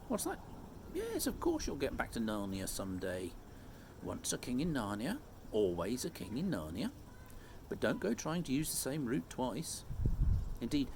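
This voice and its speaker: A man's voice: mid-range voice, vocal fry, background noise. A man voicing a kindly, eccentric old storybook professor, musing and questioning himself aloud.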